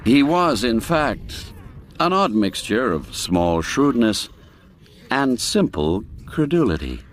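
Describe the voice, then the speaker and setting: A man narrating a classic literary tale in a measured, expressive storyteller's manner. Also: medium pitch, a little hiss or hum. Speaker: narrator and audiobook reader.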